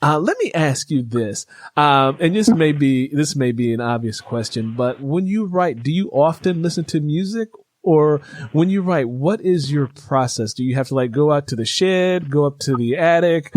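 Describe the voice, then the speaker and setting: A man's voice: a little high, no echo. A man hosting an interview in a casual, wordy way, often repeating himself as he talks. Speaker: interview host.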